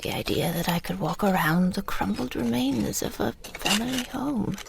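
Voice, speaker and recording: A woman playing a frail, wistful elderly British mother remembering her wartime childhood in a hushed, breathy audio drama monologue. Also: on the high side, full character voice. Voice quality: breathy, whispery. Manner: slow, hushed reminiscing.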